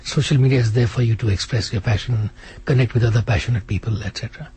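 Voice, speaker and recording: Fast-talking man with a dark, husky voice. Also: mid-range voice. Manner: fast, animated, restarts often. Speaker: interview guest, author. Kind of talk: casual radio interview. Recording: radio studio.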